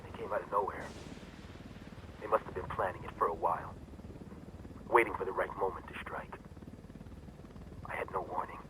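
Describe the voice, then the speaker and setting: Grim, determined intel handler, fairly deep, measured game briefings over noisy ambience. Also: male voice, fairly even intonation, a bit bright. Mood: somber. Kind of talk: video game cutscene acting.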